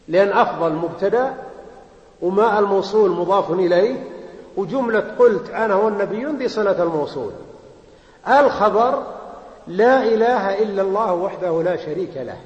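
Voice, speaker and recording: A man's voice: sits high, a little mellow. A man teaching a grammar lesson. He explains step by step, repeats key phrases and puts questions to his students. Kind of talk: classroom lecture on Arabic grammar. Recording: roomy hall, slightly muffled.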